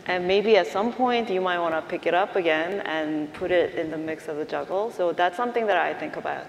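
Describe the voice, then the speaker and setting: A woman with a slightly low voice gives informal, unscripted answers on a panel. She sounds very echoey, with faint noise in the background. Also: brisk, gravelly.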